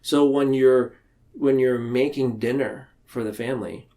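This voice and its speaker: A man talking reflectively and explaining ideas in a podcast discussion, haltingly, often circling back to rephrase. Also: medium pitch, steady tone, dark tone.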